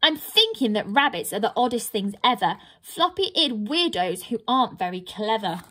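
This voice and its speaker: A woman voicing a small, frightened female pixie who blurts out the truth in a high, squeaky voice. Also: big pitch swings, normal speed, sharp tone, heavily acted. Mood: anxious.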